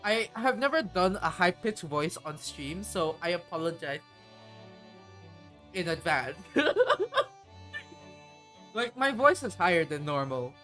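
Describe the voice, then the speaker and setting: A woman's voice: lowish voice, very animated, takes it slowly, long pauses, fairly bright tone, faint music behind. A woman streamer chatting casually while playing a game, rambling and hesitant as she explains things. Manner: rambling, hesitant, many fillers and restarts.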